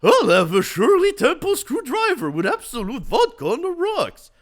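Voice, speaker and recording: A streamer voicing an arrogant young male software tycoon, bellowing in a shrill, over-the-top voice while reading a story aloud. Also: huge pitch swings, normal speed. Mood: snooty.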